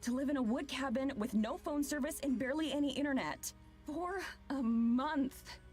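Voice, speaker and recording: Woman playing skeptical young female traveler, highish voice, exasperated and sarcastic, video game dialogue with light music behind. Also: normal speed, darkish tone, lightly acted. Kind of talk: visual novel voice acting.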